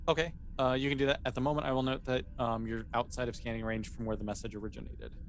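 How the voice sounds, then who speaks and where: A man's voice: medium pitch, quick pace. A man acting as game master, narrating a tabletop sci-fi roleplaying game in a casual, conversational, explanatory way.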